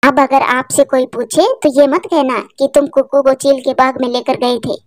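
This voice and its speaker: A woman's voice: high-pitched, little pitch movement, normal speed, a little mellow, no room sound, clean studio sound, natural voice. A woman voicing a sly, scheming mother crow, defensive and boastful, in a cartoon. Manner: scheming, indignant.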